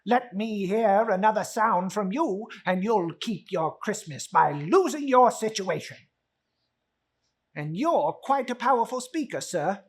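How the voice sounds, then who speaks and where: Performer doing a bitter old miser, slow and scornful. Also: mid-range voice, lively intonation, husky. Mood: dismissive, angry. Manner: scornful, emphatic, grumbling. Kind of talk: dramatic reading of a classic story.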